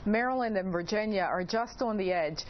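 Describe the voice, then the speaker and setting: A woman speaking as an expert guest in an interview, explaining in a hesitant way with frequent pauses. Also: medium pitch. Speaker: expert guest, principal investigator. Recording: dull lo-fi audio, clearly noisy.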